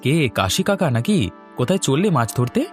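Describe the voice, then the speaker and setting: A man's voice: medium pitch, very animated, a little fast, faint music behind, lightly acted. A man voicing a mild, inquisitive village man in a cartoon, with a questioning, conversational manner. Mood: chatty. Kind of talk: cartoon voice acting.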